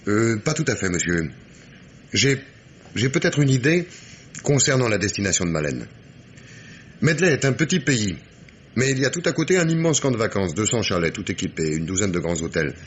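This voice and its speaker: An actor playing a sardonic, dogged police inspector in a French radio mystery play, with ironic, emphatic diction. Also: male voice, fairly low pitch, very animated, normal speed, long pauses, a little hiss or hum. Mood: deferential, reporting.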